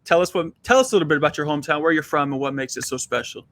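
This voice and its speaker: A man's voice: a little high, racing, a bit bright, a little hiss or hum. A man hosting a casual interview, upbeat and easygoing, laughing along with his guest. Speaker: podcast host.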